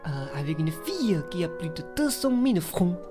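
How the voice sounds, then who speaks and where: Woman as excitable, swooping clumsy thief. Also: lowish voice, normal speed, music in the background. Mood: low, smug. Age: young adult. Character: clumsy, boastful male thief, excitable and grumbling. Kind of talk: French stage farce performed as radio play.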